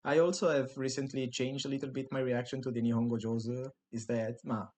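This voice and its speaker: A man whose first language is Italian, talking in a relaxed, reflective way as a podcast host. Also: mid-range voice, fairly quick. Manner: reflective, with frequent restarts. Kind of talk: casual podcast chat.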